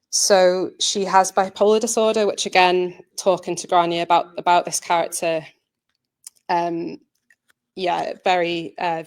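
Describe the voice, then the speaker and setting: Hesitant woman with an even, low-set voice. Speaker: audio drama producer presenting. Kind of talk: informal spoken presentation.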